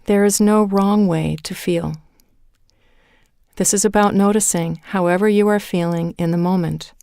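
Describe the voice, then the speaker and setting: A woman leading a guided meditation in a calm, measured way, with deliberate pauses between phrases. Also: medium pitch, gravelly, smooth, dry recording, studio quality. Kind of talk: guided meditation, scripted narration.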